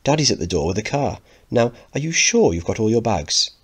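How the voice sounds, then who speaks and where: A man's voice: on the low side, very animated, brisk, husky, voice pushed up. A man voicing a doting, fussing upper-class mother in a coaxing, fluttery manner, in a dramatic play reading. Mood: fond, fussing.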